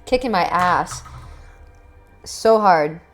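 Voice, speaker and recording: A woman's voice: lowish voice, lively intonation, takes it slowly. A young woman, chatting casually and rambling to viewers on a live stream, with lots of fillers and swearing. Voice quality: raspy. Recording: faint background music.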